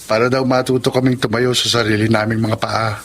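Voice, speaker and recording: A man's voice: medium pitch, level tone, fairly quick, fairly bright tone, close to an everyday voice. An actor playing an earnest young man about to be married, in a Filipino radio drama.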